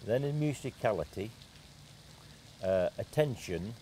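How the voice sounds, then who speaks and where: An older man answering interview questions as a judge, with a hesitant, halting delivery. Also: lowish voice, expressive, mellow, a little hiss or hum. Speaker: talent show judge.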